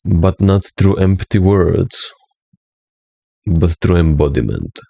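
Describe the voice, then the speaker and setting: A man's voice: sits low, lively intonation, takes it slowly, vocal fry, warm tone, no room sound, studio quality. A man from Slovenia with a Slovenian accent, speaking English as a second language in an earnest, preachy monologue as a spiritual teacher, often checking in with "right?".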